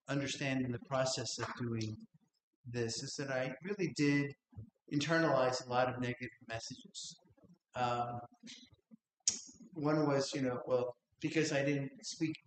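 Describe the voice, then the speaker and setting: Man telling stories in an echoey room. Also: medium pitch. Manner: conversational, laughs while telling stories. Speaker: memoir author on a panel. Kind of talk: casual panel storytelling. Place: library event room. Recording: echoey room, faint noise.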